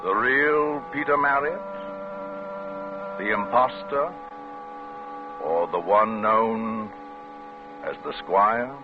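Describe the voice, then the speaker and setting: An actor playing a measured narrator of a British mystery tale in an old-time radio drama. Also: male voice, on the low side, very noisy. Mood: deliberate, intriguing. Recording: muffled old radio recording.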